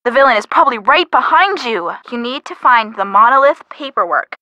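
A bright, slightly high young female friend from a detective adventure game. She is perky and helpful, nudging the player along in a chatty, teasing way. The sound is close and dry. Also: lively intonation, brisk, no room sound, dull audio. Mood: playful, prodding. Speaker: video game voice actor. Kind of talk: scripted game hint dialogue.

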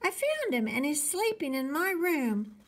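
Storybook little boy, played by a woman: high, insistent, whiny, stubbornly protesting. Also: very animated, a little slow.

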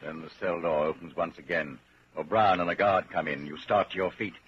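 An actor as a grave, deliberate narrator telling a grim story in the second person, in 1940s radio drama style. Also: male voice, sits low, lightly acted. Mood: ominous. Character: grave, ominous male narrator addressing the hero. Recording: dull, hissy 1940s radio recording.

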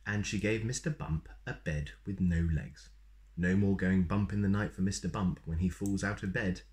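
A man in his thirties reading a children's story aloud in an expressive, playful way. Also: low-pitched, normal speed. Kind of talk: animated read-aloud with asides to young listeners. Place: small plain room indoors.

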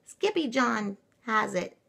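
A woman's voice: on the high side, expressive, slow, creaky, sharp tone, breathy edge, voice pushed up, heavily acted. A woman voicing teasing little kitten sisters from a storybook in a tattling, playful way.

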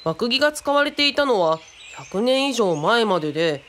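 A woman's voice: fairly high pitch, sing-song, normal speed, a little hiss or hum. A woman playing a friendly, boyish youngster who explains things casually and patiently in a radio drama. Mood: explaining.

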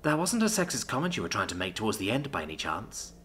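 A man voicing a cautious, knowing woman giving quiet advice in an audiobook. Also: fairly low pitch, wildly swooping pitch, fast, breathy edge, heavily acted. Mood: wry.

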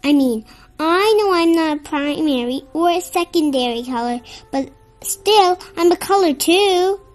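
A child reading a children's picture book aloud in an expressive, somewhat halting way, with stumbles. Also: very high-pitched. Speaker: storybook reader.

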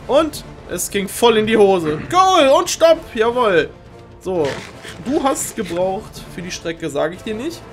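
A young man hosting a game show, giving informal, excitable live commentary on a video game race. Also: high-pitched, slow. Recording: music behind the voice.

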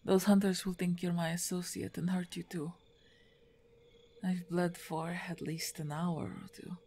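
A woman playing a big, wounded half-orc in an ASMR roleplay, weary and halting, breathy and often whispering, weak with pain. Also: lowish voice, little pitch movement, warm tone. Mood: weak, pleading.

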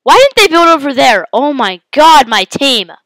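A congested woman hosting her own gaming channel talks through a match as she plays. She yelps and exclaims at every turn in a fairly high, bright, forceful voice. Her voice is stuffy from a head cold, and the sound is dry and close. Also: moderate pace, no room sound. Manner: excitable, yelps and exclaims at the game. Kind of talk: live gameplay commentary.

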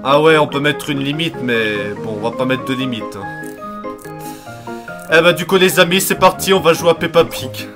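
A man's voice: a little high, normal speed. A young man giving casual, crude, irritated gameplay commentary as a let's play host. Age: young adult. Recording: loud game music behind the voice. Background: video game music.

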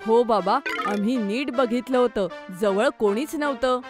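Woman as curious little cartoon boy, eager n expressive, highish voice. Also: a little slow, over music, close to an everyday voice. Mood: earnest.

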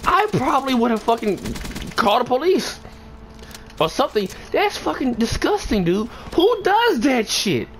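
A man's voice: very high-pitched, big pitch swings, normal speed, a bit bright. Profane, rambling young man over background noise. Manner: profane, slangy, rambling, emphatic when indignant. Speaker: gaming video creator telling a story. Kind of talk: casual storytime over gameplay. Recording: clear background noise and ambience.

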